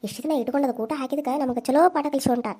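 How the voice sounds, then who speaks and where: A woman's voice: high voice, fairly even intonation, racing, warm tone, husky. A woman voicing a frightened young daughter-in-law who speaks in a tearful, pleading North Karnataka way. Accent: North Karnataka Kannada.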